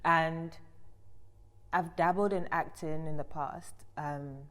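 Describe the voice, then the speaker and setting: A young woman from Nigeria, a model and TV presenter, chatting as a guest in an animated, expressive way with frequent laughter. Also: deep voice, takes it slowly. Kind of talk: casual conversational interview. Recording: long reverb from the room.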